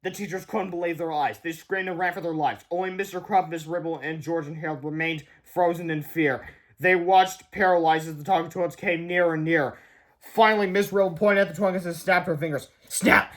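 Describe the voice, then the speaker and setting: A man's voice: fairly high pitch, fairly even intonation, fairly quick. A man narrating a children's book as a read-aloud. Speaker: storybook reader.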